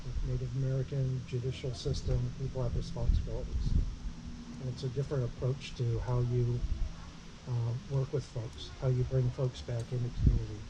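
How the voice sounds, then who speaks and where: A man who is a state parks district superintendent, speaking on a panel in a measured, personal way into a handheld mic. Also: flat delivery, heavy background noise. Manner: says he has a low voice. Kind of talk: panel remarks at a public meeting. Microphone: handheld microphone.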